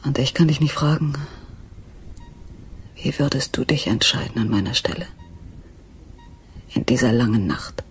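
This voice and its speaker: An actress in a German radio play, playing an anxious, brooding wife and former nurse in a breathy, hushed voice. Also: female voice, rumbling low, lively intonation, normal speed, pauses often, full character voice. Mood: brooding, shaken. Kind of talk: German radio drama acting.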